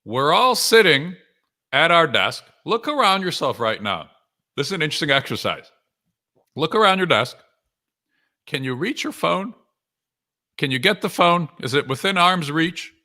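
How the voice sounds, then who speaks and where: A man's voice: on the high side, very animated, normal speed, pauses often, bright, forceful tone. A man working as a sales coach, giving a conversational live talk to viewers in punchy short phrases with frequent rhetorical questions. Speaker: sales coach and author hosting a live webinar.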